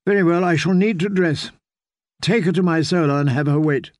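A man's voice: on the high side, brisk, no echo, clean studio sound, pitched above the performer's own voice, lightly acted. A man voicing a proud queen who gives orders in a cutting, commanding way. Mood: imperious. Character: imperious, scornful queen.

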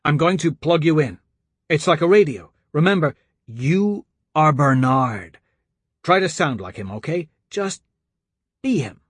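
A man voicing an anxious young man in charge, pleading and pressing out hurried instructions as in an audiobook. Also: a little high, big pitch swings, breathy edge, dry recording, voice pushed up, heavily acted. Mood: urgent, pleading. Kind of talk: audiobook character dialogue.

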